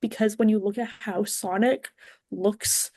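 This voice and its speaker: A woman's voice: mid-range voice, fairly even intonation, measured pace, warm tone, no echo. Chatty woman on a dry-sounding podcast. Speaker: podcast guest. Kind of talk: casual podcast chat.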